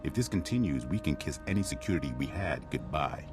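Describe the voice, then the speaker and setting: An actor playing a wry, world-weary military commander in a video game, with a blunt manner. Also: male voice, very deep voice, expressive, a little fast, over music. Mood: grave, blunt. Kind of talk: video game cutscene acting.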